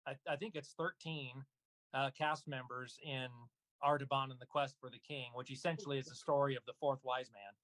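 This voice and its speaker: Man, mid-pitched voice, dry close recording, casual guest talk, halting delivery. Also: fairly even intonation, fairly bright tone, no echo, poor audio. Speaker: guest, voice actor and audio drama producer. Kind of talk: casual interview talk about own projects.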